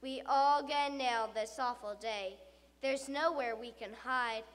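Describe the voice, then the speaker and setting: A woman's voice: on the high side, a little slow, natural voice. A woman playing a dramatic, frightened school pupil telling a tall tale in rhyming verse. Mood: panicked, doomed. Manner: dramatic, expressive storytelling. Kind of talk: rhyming comic monologue, theatrically recited. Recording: reverberant hall.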